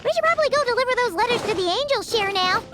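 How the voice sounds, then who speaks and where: A woman's voice: extremely high pitch, animated, racing, fairly bright tone, soft background music. A woman voicing a small, cheerful, chatty fairy companion in an anime video game, childlike and blunt. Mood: curious. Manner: bubbly, refers to self by name.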